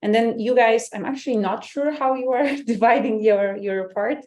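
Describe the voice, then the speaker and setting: A woman's voice: on the high side, moderate pace, darkish tone. A woman hosting an online webinar in an upbeat, chatty way full of fillers. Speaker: webinar host, head of marketing. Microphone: video call.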